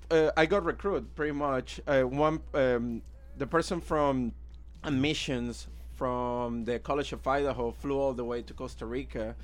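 A man in his thirties with a Venezuelan accent, a native Spanish speaker, casually telling his own story as an interview guest. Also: mid-range voice. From Caracas, Venezuela. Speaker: interview guest, illustrator and art teacher.

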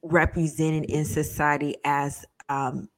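Low-voiced woman podcast host in her thirties. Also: takes it slowly, dry recording. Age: 30s. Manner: chatty, animated, searching for words. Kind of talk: casual chat.

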